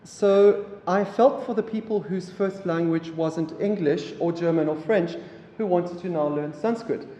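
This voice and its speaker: A man from South Africa, an Afrikaans speaker, giving a relaxed public lecture with halting phrasing and many fillers. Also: high-pitched, a little hiss or hum. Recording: echoey hall, mic from afar.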